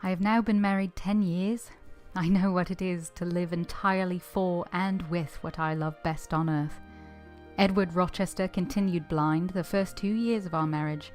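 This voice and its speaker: A woman's voice: lowish voice, fairly even intonation, normal speed, faint music behind, close to an everyday voice. A woman playing an earnest, tender former governess, acting and narrating her own story in a radio drama. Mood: reflective. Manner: earnest, gentle, heartfelt.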